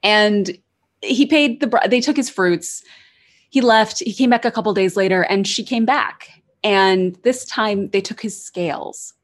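A woman guest on a podcast interview, telling the story in a lively, wry way. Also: medium pitch, measured pace. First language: English.

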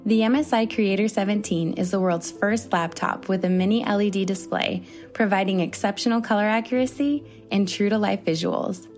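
A woman reading a scripted sponsor ad in a smooth promotional delivery. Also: medium pitch, moderate pace, few pauses, a little mellow, faint music behind. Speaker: sponsor announcer. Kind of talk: scripted ad read.

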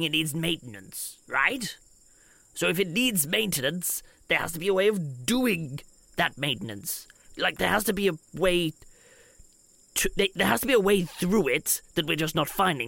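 Casting: male tabletop player, wry rambler, lots of vocal fry, swooping intonation, dry sound. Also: fairly high pitch, animated, moderate pace, fairly bright tone, no room sound. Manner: hesitant, wordy, repeats himself, wry. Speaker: player in a tabletop role-playing show. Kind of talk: improvised in-character table talk.

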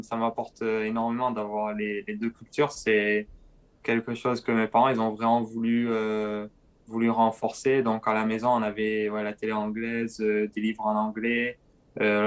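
A young man with a slight southern French accent answers questions, stopping often on fillers as he finds his words. His voice sits fairly low and stays flat, with a smooth, clear tone. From southern France. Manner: hesitant, many filler pauses. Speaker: guest, pro cyclist. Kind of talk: casual interview answers.

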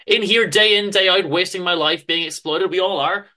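Story reading: gloomy, rebellious office drone played by a man, wordy and earnest, slightly high, forceful voice. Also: normal speed. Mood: indignant rant. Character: Chester, gloomy, principled, rebellious male office worker.

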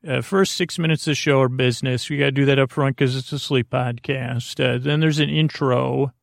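Creaky, mumbling American man rambling. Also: mid-range voice, no room sound, studio quality. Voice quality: gravelly, creaky. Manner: meandering, halting, trails off mid-thought. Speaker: podcast host. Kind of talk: rambling bedtime podcast monologue. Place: small closet, very dry sound.

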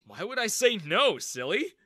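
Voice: medium pitch, big pitch swings, measured pace, fairly bright tone, husky, no echo, clean studio sound, voice pushed up, full character voice. A performer voicing a wry, sincere young male underdog in an audio story, earnest in manner.

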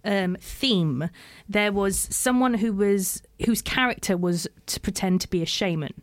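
A woman's voice: medium pitch, unhurried, no room sound, clean studio sound. A woman co-hosting a podcast who tells an amused story in a casual chat.

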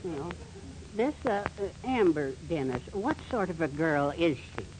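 An actor playing a meek, henpecked middle-aged husband in 1940s radio comedy, mild, hesitant and wistful. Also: male voice, fairly high pitch, very animated, moderate pace. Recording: faintly noisy 1940s radio recording.

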